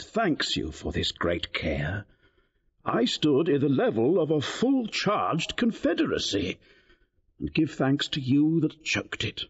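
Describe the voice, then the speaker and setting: An actor playing a commanding, proud king in a classical verse audio drama, with a husky voice. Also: medium pitch, muffled recording, heavily acted. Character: commanding king, proud, easily roused to indignation.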